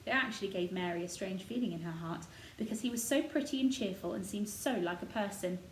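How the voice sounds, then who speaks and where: A woman's voice: mid-range voice, brisk. Woman reading a storybook, echoey room. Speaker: storybook reader. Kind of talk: scripted narration of a children's novel. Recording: roomy echo, noticeable background noise.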